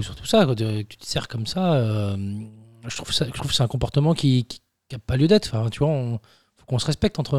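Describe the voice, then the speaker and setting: An indignant, wounded male flatmate acted by a man in an improvised radio comedy. His medium-pitched voice stumbles and repeats words as he reproaches, in a very dry, close studio sound. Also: creaky, no room sound. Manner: halting, stammering reproach with many repeated words.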